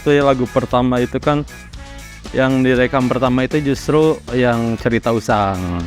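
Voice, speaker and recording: A man from Indonesia, a rock singer, casually recalling his career in an interview in a halting, reflective way. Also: medium pitch, music in the background. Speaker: rock vocalist being interviewed. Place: studio workroom.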